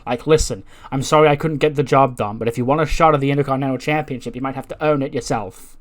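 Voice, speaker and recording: A man's voice: mid-range voice, fairly even intonation, fast, natural voice. A man acting out a frustrated female wrestler, pleading and insistent as she defends herself and apologises. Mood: defensive, frustrated. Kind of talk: acted-out backstage wrestling argument.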